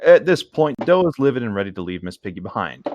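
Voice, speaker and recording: A man's voice: mid-range voice, sing-song, fast talker, darkish tone, muffled recording, a little hiss or hum. A man co-hosting a casual show, bantering and reading aloud informally, dropping in his own comments. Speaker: co-host reading a story aloud.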